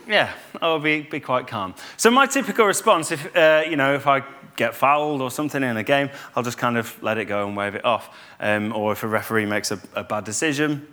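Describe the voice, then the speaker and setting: A man, giving a calm, conversational church talk with fillers and restarts. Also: medium pitch. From Yorkshire, England. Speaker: church speaker.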